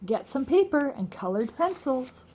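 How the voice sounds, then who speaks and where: Woman playing a high, eager storybook housekeeper. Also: very animated, normal speed, mellow, slight background noise, low-quality recording. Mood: pleasantly unruffled. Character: cheerful, eager, literal-minded woman housekeeper from a children's storybook.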